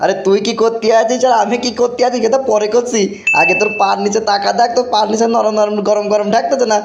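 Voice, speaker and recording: Squeaky, gravelly cartoon village schemer. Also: male voice, fairly even intonation, fast talker, dull audio. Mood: urgent, sheepish. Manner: exclamatory, repetitive, blustering. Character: Motin, brash excitable young village friend, comic schemer. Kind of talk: comic cartoon dubbing in village dialect. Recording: roomy echo, clearly noisy background.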